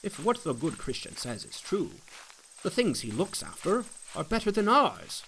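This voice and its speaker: A man voicing a mild, easily persuaded male neighbour, gentle and conciliatory, in a dramatic storybook reading. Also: high-pitched, sing-song, normal speed, slight background noise, voice pushed up, heavily acted, poor audio. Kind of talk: dramatic reading of an old allegory.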